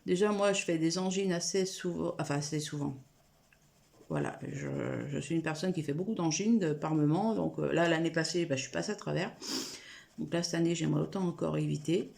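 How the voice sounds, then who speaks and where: Woman vlogger, lowish gravelly voice, rambling home chat, a bit stuffy from a cold. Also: brisk, darkish tone. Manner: rambling, many fillers and restarts. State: has a cold. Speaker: coloring vlogger. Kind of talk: casual chat to camera. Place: room at home.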